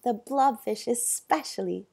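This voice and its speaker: Woman doing a shy high-voiced little boy. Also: unhurried, gravelly, dark tone, breathy edge, heavily acted. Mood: wistful.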